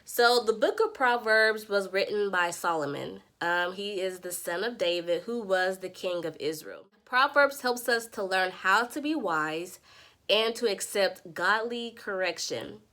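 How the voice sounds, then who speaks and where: A congested, stuffy-nosed woman walks her viewers through scripture in a morning devotional vlog. She teaches in an earnest, preacherly way, urging listeners toward wisdom. Her voice sits at an ordinary pitch for a woman. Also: measured pace, pushed and bright. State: congested, nasal from a sinus infection. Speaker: Bible study vlogger. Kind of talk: devotional Bible summary vlog.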